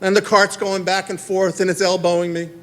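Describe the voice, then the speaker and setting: A middle-aged man, telling a stand-up story on a theater stage and acting out the dialogue in quick back-and-forth. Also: high voice. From Queens, New York. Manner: animated, acts out dialogue in quick exchanges. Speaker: stand-up comedian.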